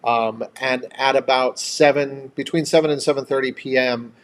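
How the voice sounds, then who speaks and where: A man's voice: mid-range voice, fairly even intonation, moderate pace. An American man co-hosting a casual live chat in a chatty, upbeat, playful manner. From upstate New York, United States. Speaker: host of a couple's travel live chat.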